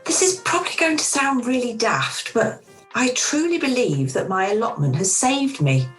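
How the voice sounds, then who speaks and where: A woman playing a warm but self-doubting middle-aged restaurant owner in an amateur radio play, recorded over a video call. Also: fairly high pitch, expressive, moderate pace, faint music behind. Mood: anxious.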